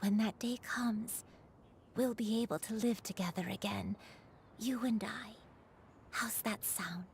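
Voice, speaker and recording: A woman's voice: medium pitch, measured pace, vocal fry, pauses often, slight background noise. A woman voicing a loving old grandmother, a crane spirit in human form, in a tender, soothing game performance. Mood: tender, reassuring. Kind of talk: video game voice acting.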